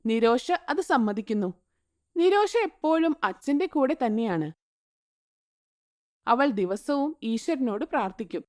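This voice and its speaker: A woman narrating an animated moral story in a scripted storybook style. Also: a little high, unhurried, long pauses. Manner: even, storytelling tone.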